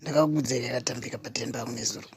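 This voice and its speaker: A man voicing a cocky, defensive young man in a comedy cartoon, excitable and protesting, with a Zimbabwean accent. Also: mid-range voice, quick pace, husky. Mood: defensive storytelling.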